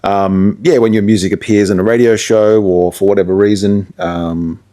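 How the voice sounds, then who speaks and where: Deep-voiced Australian man chatting. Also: fairly even intonation, moderate pace, dark tone. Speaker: radio presenter and musician guest. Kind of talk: casual interview chat.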